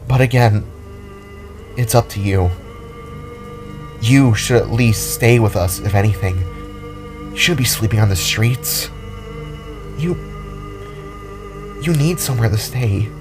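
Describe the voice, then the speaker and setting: A man's voice: mid-range voice, slow, long pauses, music in the background, lightly acted. A man voicing a determined, caring young woman, a guitarist and fighter, in an earnest, rambling, pleading way for an audio drama. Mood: gentle, concerned.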